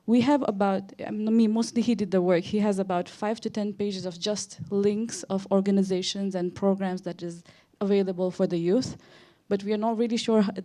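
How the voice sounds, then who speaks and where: Young female audience commenter, Nepali accent, relaxed mellow timbre, mid pitch, informal conference remarks. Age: young adult. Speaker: audience member making a comment.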